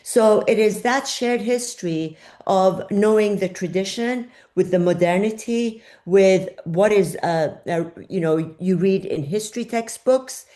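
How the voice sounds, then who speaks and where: An older woman from Iran, a journalist answering interview questions in a thoughtful way, often pausing with fillers as she looks for words. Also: medium pitch, mellow. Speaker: journalist guest.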